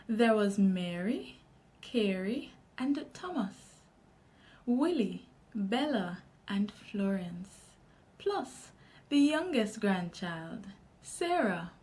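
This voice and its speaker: A woman with a Jamaican accent reading a children's story aloud in an expressive, deliberate storytelling manner. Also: fairly high pitch.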